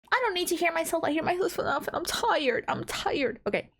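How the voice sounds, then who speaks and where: A woman's voice: very high voice, lively intonation, moderate pace, fairly bright tone. A young woman from the Balkans with a slight Albanian accent, a VTuber scolding herself between recording takes, with a blocked nose. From Balkans, Southeastern Europe. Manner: frazzled, scolds herself, giggly, restarts lines. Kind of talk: casual self-talk between recording takes. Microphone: home recording mic.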